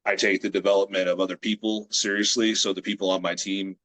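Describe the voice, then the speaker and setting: A man in his late thirties, a grip strength athlete from the United States, chatting as a guest on a video call in a relaxed, rambling way with many fillers. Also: sits low, quick pace. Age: 30s. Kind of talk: casual interview chat.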